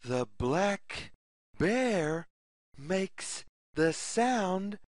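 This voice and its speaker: A children's storyteller reads aloud extremely slowly, setting each phrase apart. The pitch swings widely in a sing-song pattern. The sound is dry and close, suited to a simple picture book for young listeners. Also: sing-song, dry recording. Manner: deliberate, word-by-word delivery with sing-song questions.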